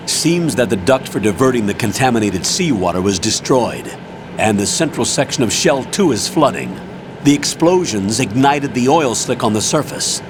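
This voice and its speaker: An actor playing a stern, businesslike military colonel giving orders in a dramatic video game. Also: male voice, mid-range voice, animated, normal speed, noisy background, close to an everyday voice. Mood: sober, businesslike. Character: stern male colonel briefing his agent, businesslike. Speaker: commanding officer.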